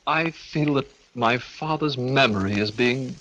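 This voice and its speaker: An actor playing a guilt-haunted man in a 1940s radio thriller, melodramatic and anguished, moving between hushed narration and frantic outbursts. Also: mid-range voice, a little slow, lo-fi sound, lightly acted. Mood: pleading, bitter. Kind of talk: 1940s radio suspense acting, first-person narration. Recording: old 1940s radio broadcast, music behind.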